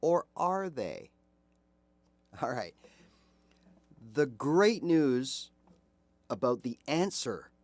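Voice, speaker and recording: An older man talks through a guitar lesson in a friendly, conversational way, his pitch rising and falling a lot as he poses questions to the viewer. The sound is dry and close, with almost no room echo around him. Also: a little mellow. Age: 50s or older. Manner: chatty, encouraging, rhetorical questions, frequent "all right" and "right?". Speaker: guitar teacher. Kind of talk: casual video lesson to camera.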